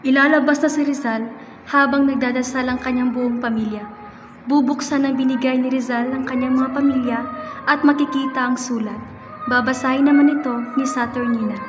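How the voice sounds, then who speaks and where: Female narrator, high voice, scripted radio drama, long hall reverb. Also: steady tone, brisk, background noise. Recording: echoey hall, music behind.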